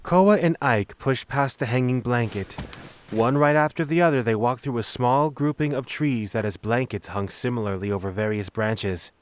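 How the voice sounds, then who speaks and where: A man's voice: mid-range voice, normal speed, slight background noise. A man narrating a scripted fantasy story in the third person as its narrator.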